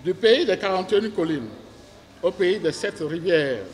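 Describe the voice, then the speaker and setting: A Beninese man delivers ceremonial oratory in a high voice. He declaims slowly, phrase by phrase, with long reverb trailing his words. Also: expressive, a little hiss or hum. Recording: reverberant public address.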